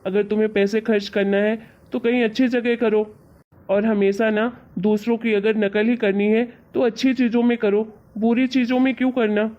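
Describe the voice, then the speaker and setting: A woman's voice: fairly high pitch, little pitch movement, moderate pace, dark tone, natural voice. A woman playing an exasperated, reasoning husband in an amateur cartoon story. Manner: reasoning, chiding, colloquial. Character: exasperated young husband, patient and teasingly lecturing. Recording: dull, lo-fi audio.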